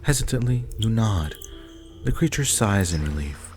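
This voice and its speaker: A man's voice: fairly low pitch, expressive, normal speed. A man reading scripted second-person story narration for an ASMR roleplay. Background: faint music.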